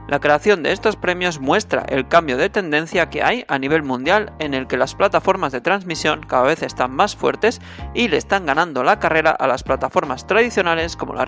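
A man's voice: fairly high pitch, a bit bright. A man hosting a scripted entertainment news roundup, addressing viewers directly as a presenter. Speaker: host of a Korean entertainment news channel. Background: music under the voice.

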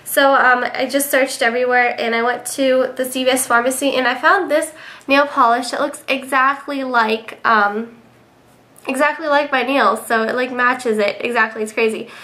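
A woman's voice: high-pitched, steady tone, normal speed, bright, forceful tone. A young woman with a sick, nasal voice chatting casually through a beauty product review, with many ums, recorded on a webcam in her bedroom. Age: young adult. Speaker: beauty vlogger. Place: bedroom indoors.

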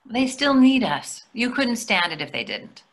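A middle-aged woman playing a brisk, wry wife and mother in a play reading, dry and teasing over a video call. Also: on the high side, very animated. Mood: firm, reassuring. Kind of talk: readers theatre play reading. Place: indoors at home, on a video call.

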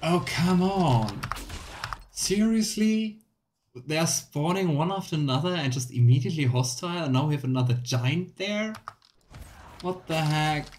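A man's voice: fairly high pitch, animated, measured pace, darkish tone, a little hiss or hum. A young man giving casual, playful live commentary as he plays a video game, chatting to the game and viewers. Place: small indoor room at home. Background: game music.

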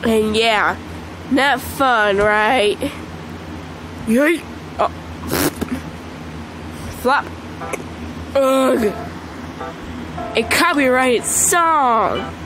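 A woman with a congested voice chatting casually over mobile gameplay, excitable and squealing at the game. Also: fairly high pitch, drawn-out words, pauses often, background noise. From Michigan. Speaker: gaming channel host. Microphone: phone screen recording.

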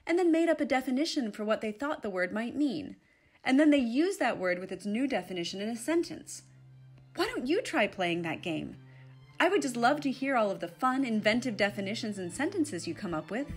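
Lady host reading the outro for kids, upbeat, animated voice, music playing underneath. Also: on the high side, fairly quick, breathy edge. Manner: friendly, encouraging, addresses kids directly. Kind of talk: warm scripted outro for children. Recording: voice over background music.

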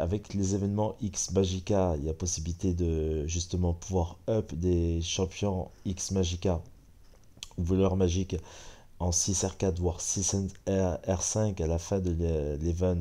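French guy with a cold, low mellow voice, chill game commentary, lots of euh. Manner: relaxed, chatty, many fillers. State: stuffy head cold. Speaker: gaming channel host. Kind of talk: casual gameplay commentary.

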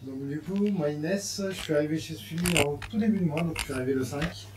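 A man with a French accent co-presenting at a tech meetup, speaking haltingly with many fillers. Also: fairly high pitch, roomy sound, noisy background. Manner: halting, filler-heavy self-introduction. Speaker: co-presenter, technical lead. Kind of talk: casual conference talk. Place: meetup room with audience.

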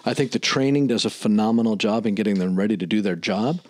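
A middle-aged man with a fairly low voice chats in a podcast discussion. He is recorded close on a studio mic, with very little room sound. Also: fast talker, a little mellow, no room sound. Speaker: podcast co-host discussing aviation. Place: small office studio, indoors. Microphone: close podcast mic on boom arm.

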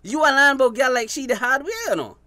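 A man's voice: very high-pitched, animated, quick pace, bright, forceful tone, no echo, heavily acted. An actor with a Belizean Creole accent playing a hard-up, guarded fisherman in a radio drama. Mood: wry. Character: hard-up, guarded male fisherman seeking fresh start.

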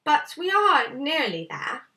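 Lady doing a worried little storybook boy, high pitched and swoopy, hesitant, distant mic. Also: big pitch swings, slow, pushed and bright, full character voice. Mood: anxious, questioning. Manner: hesitant, questioning. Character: puzzled, anxious, questioning young boy. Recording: slightly distant camera microphone.

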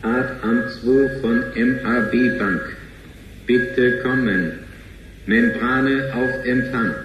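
Slow, echoing computer voice, acted by a man. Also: medium pitch, fairly even intonation, close to an everyday voice. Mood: impassive. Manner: clipped, procedural, emotionless announcements. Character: formal bank computer answering voice commands. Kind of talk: sci-fi radio play. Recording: muffled, echoing, music behind.

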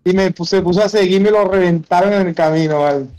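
High-voiced Venezuelan man, bossy gamer. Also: fast talker, darkish tone. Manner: slangy, bossy, repetitive instructions. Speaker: gamer livestreamer. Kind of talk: casual chat while playing an online game.

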